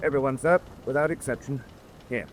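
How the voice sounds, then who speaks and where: A male actor plays a loyal, obedient naval chief in a radio drama. His mid-pitched voice delivers words in a formal, official manner, with faint noise behind. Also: a little fast, natural voice. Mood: formal, resolute. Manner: formal, declarative, reading aloud.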